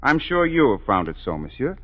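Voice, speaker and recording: A man acting a proud, suave opera singer in a 1940s radio drama, smooth, formal and theatrical, with dry sarcasm. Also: mid-range voice, big pitch swings, fairly quick, highs cut off. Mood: suave, needling. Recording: 1943 radio broadcast with music.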